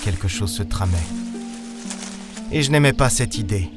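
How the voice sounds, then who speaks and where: A man's voice: fairly low pitch, expressive, normal speed, natural voice. Music runs under a male actor as a jaded, sardonic space captain in a science-fiction audio drama. He is blunt and colloquial, wary of everyone, and tells his own story between exchanges. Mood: grave recollection. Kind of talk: French sci-fi audio drama, acting and first-person narration.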